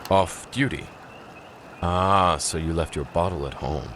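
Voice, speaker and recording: Deep-voiced, brooding vampire lord. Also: male voice, a little slow, fairly bright tone, a little hiss or hum. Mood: courteous. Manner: formal, dry, sardonic. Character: brooding, courtly, proud old male vampire lord. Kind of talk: scripted video game dialogue.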